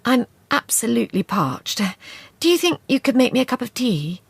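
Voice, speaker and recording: A charming young woman spy, quick-witted and breezy, coaxing people with bright persuasive talk, as a woman reader puts her on. Her voice lifts a little above the narration. Dry and close to the mic. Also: a little high, big pitch swings, vocal fry, husky, no echo, pitched above the performer's own voice, full character voice.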